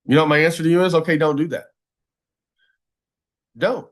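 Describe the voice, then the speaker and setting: Higher-pitched man, emphatic speaker. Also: steady tone, a little fast. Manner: emphatic, folksy, repeats phrases for emphasis. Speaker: advocacy speaker giving a webinar talk. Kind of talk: motivational presentation to a group.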